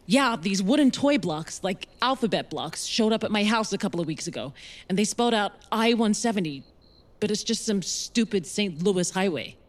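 Actress as a weary, expressive American woman. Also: medium pitch, normal speed, close to an everyday voice. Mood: frustrated, angry. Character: weary, strained mother haunted by an evil force. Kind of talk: audio fiction acting, dialogue and first-person narration.

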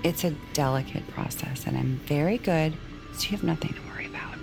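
An actress playing a calmly menacing asylum doctor in an audio drama, measured, clinical and falsely friendly, with music behind her. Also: low voice, gravelly. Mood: coolly menacing. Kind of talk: audio fiction acting.

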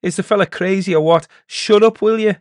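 Man playing a loud, domineering, scornful village boss. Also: on the high side, normal speed, no echo, clean studio sound, pitched above the performer's own voice. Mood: commanding, angry. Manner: commanding, blustering, mocking. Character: big, domineering village man, gruff, scornful, proud charm-worker. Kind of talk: storybook character voice in a narrated tale.

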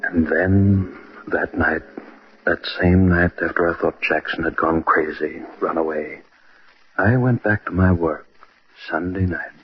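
A man playing an irritable, selfish husband and scientist in an old-time radio drama, impatient and blustery, with a husky voice. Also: low voice, unhurried, a little hiss or hum. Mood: grim, remembering. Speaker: radio actor. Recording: muffled old radio recording.

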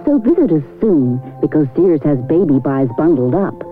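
An American female announcer delivers a scripted seventies radio commercial. Her voice is on the low side and very lively. The recording is narrow and tinny, with faint music behind. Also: normal speed. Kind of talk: scripted 1970s radio ad read. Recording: phone-like old radio broadcast.

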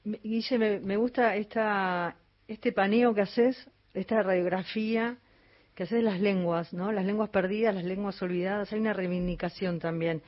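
A woman's voice: mid-range voice, steady tone, moderate pace, highs cut off. A woman with an Argentine accent co-hosting a radio interview, making thoughtful remarks. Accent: Argentine Spanish. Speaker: radio co-host.

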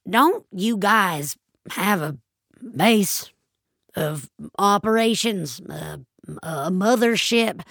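A woman voicing an anxious, crotchety elderly woman in an audio story, halting and pleading. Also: medium pitch, lively intonation, creaky, fairly bright tone, no echo, studio quality, exaggerated voice. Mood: flustered, pleading. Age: older. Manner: halting, pleading, old-fashioned.

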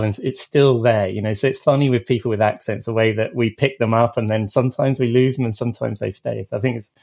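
Man, middle-aged, podcast host, mid pitch, conversational, affirming, restarts. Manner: warm-up questions, affirming "yeah", frequent restarts. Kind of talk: conversational interview hosting.

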